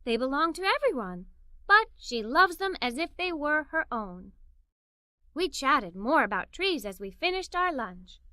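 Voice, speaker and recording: A woman reading a children's story in an expressive, scripted narrating style. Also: sits high, unhurried, no room sound, clean studio sound. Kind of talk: scripted read-aloud story narration for kids.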